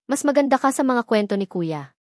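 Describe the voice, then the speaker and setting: A woman's voice: on the high side, lively intonation, fairly quick, darkish tone, no room sound, studio quality, close to an everyday voice. A woman with a Filipino accent voicing a friendly, frank younger sister in a narrated romance story. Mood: friendly, teasing. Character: friendly, lively younger sister of a rich man.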